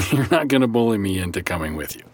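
A man's voice: lowish voice, sing-song, a little fast, no echo. A man playing an educated, curt and guarded doctor in naturalistic audio drama. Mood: defiant, dry. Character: educated, guarded, dryly irritable male doctor.

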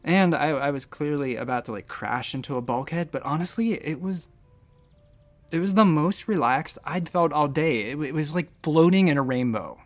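A man's voice: fairly high pitch, moderate pace, soft background music, close to an everyday voice. A man playing an anxious, wry space station doctor in a sci-fi audio drama, with a stammering, nervy manner. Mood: wry, reflective.

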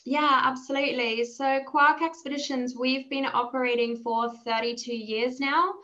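Bright, forceful Australian woman. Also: high voice, fairly even intonation, moderate pace, muffled recording. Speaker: interview guest, travel business development manager. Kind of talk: conversational interview.

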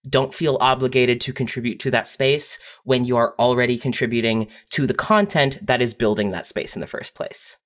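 A writer talking casually and reflectively in a podcast panel, haltingly rephrasing points for emphasis. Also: medium pitch. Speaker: audio fiction writer on a podcast.

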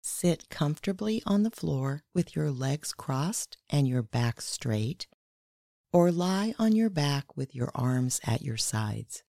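A woman hosting and leading a guided meditation in a measured, instructional way, reading scripted narration. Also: low voice, very animated, gravelly, mellow, no echo, studio quality. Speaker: meditation guide and podcast host.

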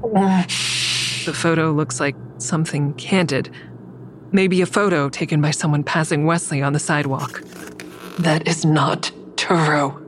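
An anxious, secretive woman with a distinctly low voice, in an audio drama. She moves between first-person narration and dialogue, over clearly audible background ambience. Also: steady tone, measured pace, slight background noise. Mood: alarmed.

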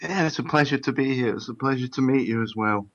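A man's voice: medium pitch, fast, fairly bright tone, lo-fi sound. A man from England, a guest paranormal investigator, chatting in a friendly, hesitant way. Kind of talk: casual interview chat.

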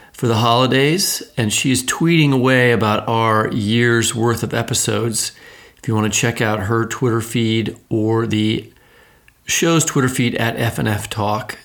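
A man's voice: mid-range voice, a bit bright. A man speaking casually as the host of a podcast.

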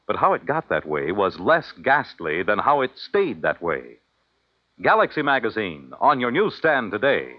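A man announcing in a formal, emphatic 1950s radio style, reading from a script. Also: mid-range voice. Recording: dull vintage radio broadcast recording.

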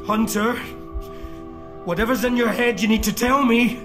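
A man's voice: very high-pitched, fairly even intonation, normal speed, over music. An actor playing a desperate man in an audio drama, pleading and insistent as he confronts his brother. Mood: desperate demanding.

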